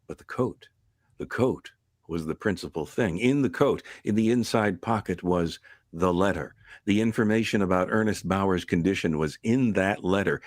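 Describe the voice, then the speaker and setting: A man performs a grave old-time radio narrator. His low voice is close and dry, building suspense with deliberate, dramatic emphasis. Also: no room sound, close to an everyday voice. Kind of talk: scripted vintage radio narration.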